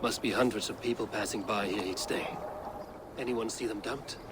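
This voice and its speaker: An actor playing a stern, terse Viking warrior who speaks in a measured, grave way. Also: male voice, lowish voice, steady tone, background noise, lightly acted.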